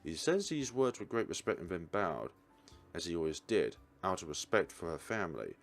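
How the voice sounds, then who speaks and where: Male let's player narrator: low voice, lively intonation, deliberate theatrical read, faint music behind. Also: gravelly. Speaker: let's player reading aloud. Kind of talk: dramatic read-aloud narration of a visual novel.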